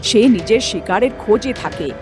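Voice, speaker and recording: A woman's voice: mid-range voice, lively intonation, normal speed, over music. A woman telling a scripted ghost story as the narrator of a cartoon.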